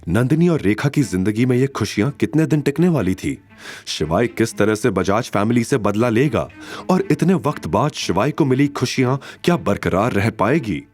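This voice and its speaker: A man's voice: mid-range voice, lively intonation, moderate pace, darkish tone. A man reading a dramatic audio story as narrator, telling it expressively.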